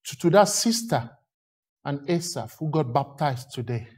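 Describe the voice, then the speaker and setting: A man's voice: medium pitch, expressive, unhurried, darkish tone, breathy edge. A man from Lagos with a Nigerian accent, preaching a sermon with emphatic, rhythmic repetition and long lists.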